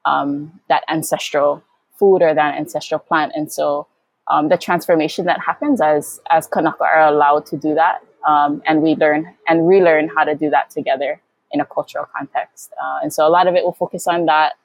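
A woman from Hawaii speaking reflectively as an interview guest, thoughtful and halting as she searches for words. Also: low-pitched, fairly even intonation, dry recording. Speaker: guest, Hawaiian educator and activist.

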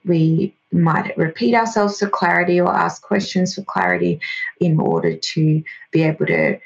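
An Australian woman whose first language is Greek, explaining her views in a thoughtful, measured way as a podcast guest. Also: on the low side, animated, creaky. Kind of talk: podcast interview. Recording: slightly dull lo-fi audio.

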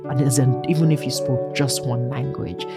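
Thoughtful Nigerian-raised woman, halting speech. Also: very deep voice, fairly even intonation, loud music behind the voice. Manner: thoughtful, halting, many restarts and fillers. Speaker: author, guest. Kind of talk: reflective conversational interview.

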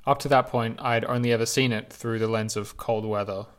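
A man reading his own reflective essay aloud in a measured, lyrical, unhurried way. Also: medium pitch, fairly even intonation.

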